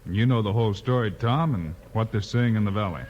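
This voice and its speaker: A man playing a calm, confident lawman hero, measured and commanding, in a 1950s radio Western. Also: on the low side, lightly acted. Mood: businesslike. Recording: muffled, noisy 1950s radio recording.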